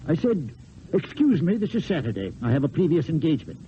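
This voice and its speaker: A man's voice: a little high, big pitch swings. Proud sad aging swordsman poet, very swoopy stagey delivery, muffled old radio sound. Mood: wry, masking strain. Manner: theatrical, deliberate. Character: Cyrano, aging proud poet-swordsman, melancholy faithful friend. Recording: narrow, noisy old radio recording.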